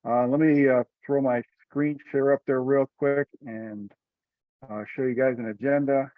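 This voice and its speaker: A man runs an informal online meeting, talking in a relaxed, colloquial way at a medium pitch. The sound is dull and lo-fi, like a dry conference call with very little room. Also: unhurried, no echo. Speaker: meeting chair.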